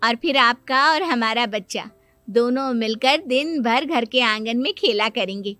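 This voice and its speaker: A woman plays a loving young aunt in a cartoon moral tale. Her voice is high and bright, with an earnest, affectionate delivery. Music sits underneath. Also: unhurried. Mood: cheerful. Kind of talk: acted Hindi moral cartoon story.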